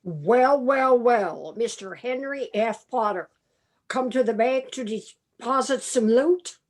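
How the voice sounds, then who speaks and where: A woman playing a scatterbrained, excitable older man in a radio play, theatrical and exclaiming. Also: fairly high pitch, slow. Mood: cheerfully gloating. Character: scatterbrained, excitable older Uncle Billy, male bank depositor. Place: home, over video call.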